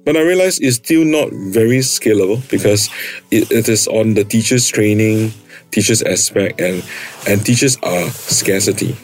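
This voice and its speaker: A middle-aged man with a Singaporean accent, a company founder giving earnest, measured interview answers with some pauses and fillers. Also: on the low side, rough recording.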